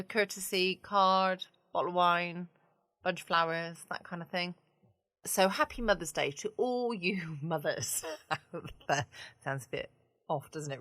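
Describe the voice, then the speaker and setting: A woman's voice: mid-range voice, pushed and bright, clear, resonant tone, dry recording. A woman chatting casually and wryly as the host of a late-night bedtime podcast. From United Kingdom.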